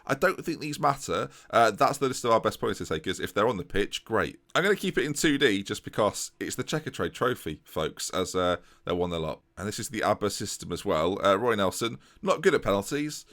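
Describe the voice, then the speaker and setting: A young man giving chatty, excitable commentary over a video game, often tripping over his words and joking at himself. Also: fairly low pitch, a little fast, hardly any pauses. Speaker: gaming YouTuber. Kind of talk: casual let's-play commentary.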